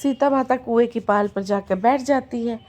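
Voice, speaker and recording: A hoarse woman telling a devotional folk tale and chanting rhythmic verse refrains. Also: on the high side, moderate pace, darkish tone. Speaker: devotional storyteller.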